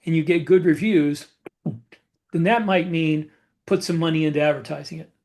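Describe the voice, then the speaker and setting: A man's voice: on the high side, fairly even intonation, moderate pace, darkish tone. A man chatting casually as a podcast host, giving advice in a matter-of-fact way. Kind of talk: casual advice chat about book publishing.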